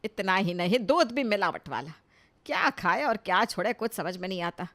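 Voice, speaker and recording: A woman playing a nagging, sharp-tongued older village mother-in-law in a cartoon family comedy, scolding and sarcastic. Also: mid-range voice, lively intonation, moderate pace. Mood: disgusted complaining. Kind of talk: Hindi animated family comedy story acting.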